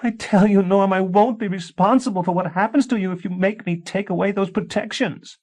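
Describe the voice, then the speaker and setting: A man reading an audiobook, voicing a frantic young wife who secretly practices witchcraft, shrill as she pleads and accuses. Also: moderate pace, a little mellow. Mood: shrill, accusing.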